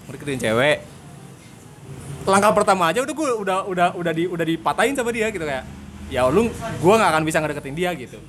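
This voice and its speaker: High-voiced giggly young man chatting. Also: fast talker, slight background noise. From Purwakarta, West Java, Indonesia. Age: young adult. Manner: slangy, animated storytelling with frequent giggling. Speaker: podcast host. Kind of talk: casual joking chat.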